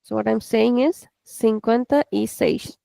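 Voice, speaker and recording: A woman's voice: fairly high pitch, steady tone, unhurried, creaky, dark tone, dry recording, studio quality. A woman with an Indian English accent teaching an online language class, explaining patiently and repeating each point step by step.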